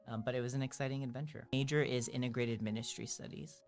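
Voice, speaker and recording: A man's voice: mid-range voice, fairly even intonation, fast talker, gravelly. A man in his twenties, answering interview questions in an easygoing way with filler words. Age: 20s. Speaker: guest.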